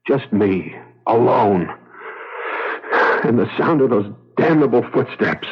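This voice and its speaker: Husky, edgy husband, lo-fi radio. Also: male voice, mid-range voice, expressive, takes it slowly. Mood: exasperated. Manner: edgy, defensive. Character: haunted, defensive, irritable husband, a showbiz agent. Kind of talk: 1970s radio drama acting. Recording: dull lo-fi vintage radio recording.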